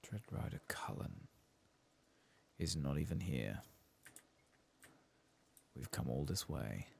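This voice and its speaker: A man speaking softly and at times whispering in ASMR gameplay commentary, murmuring and musing to himself close to the microphone. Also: very deep voice, animated, slow, vocal fry, slight background noise. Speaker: host of a gaming ASMR channel.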